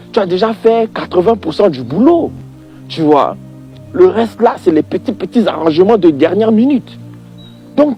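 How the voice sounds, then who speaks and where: A man's voice: high voice, darkish tone. A man giving an informal religious opinion monologue, exasperated and emphatic, repeating points and addressing the listener directly.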